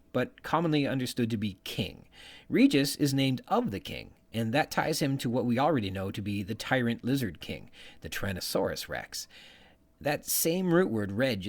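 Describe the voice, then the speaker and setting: A man hosting a podcast, delivering scripted literary commentary with an emphatic delivery. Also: mid-range voice. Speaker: podcast host.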